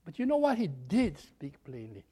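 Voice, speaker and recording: A man preaching a sermon, his voice slightly raspy and hoarse after a cold, talking in an animated, chatty way with jokes at his own expense and stretches of storytelling. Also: a little high, moderate pace.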